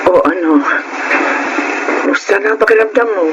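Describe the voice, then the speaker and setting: A man's voice: sits high, moderate pace, heavy background noise, lightly acted. A man voicing a concerned, apologetic mother in a radio drama. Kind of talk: Filipino radio drama acting.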